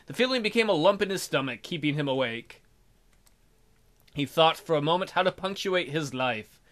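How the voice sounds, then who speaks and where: A man's voice: a little high, animated, moderate pace, a bit bright. A man hosting a let's play, reading game text aloud expressively and adding casual jokey commentary.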